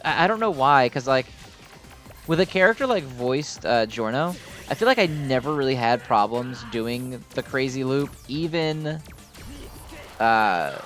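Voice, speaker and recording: A man's voice: medium pitch, a bit bright. A man giving casual, rambling gameplay commentary with a fan's enthusiasm and some mild swearing. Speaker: gamer commenting on own gameplay.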